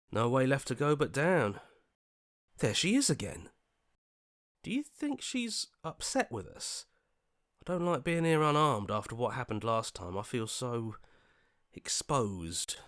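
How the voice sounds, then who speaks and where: A man's voice: medium pitch, very animated, unhurried, pauses often, a bit bright, no room sound, clean studio sound. An actor playing an anxious, earnest young male hero in a video game, hesitant and pleading, sometimes stammering. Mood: worried, hushed.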